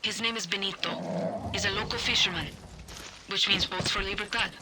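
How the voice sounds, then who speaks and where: An actress playing a composed, earnest rebel leader in a video game. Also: female voice, medium pitch, fairly even intonation, brisk, natural voice. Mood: earnest, persuasive. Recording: loud background noise.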